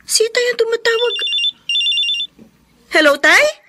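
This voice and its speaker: A woman's voice: very high voice, expressive, a little slow, very noisy. A woman playing an anguished, caring young elder sister in a Filipino radio drama, pleading and sighing. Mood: worried. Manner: tearful, pleading, sighing, breathy whispers in distress.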